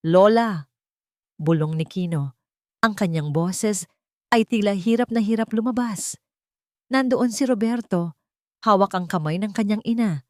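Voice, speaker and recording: A woman reads a dramatic story as its narrator. Her voice sits a little low for a woman. The recording is dry, with very little room sound. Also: no room sound.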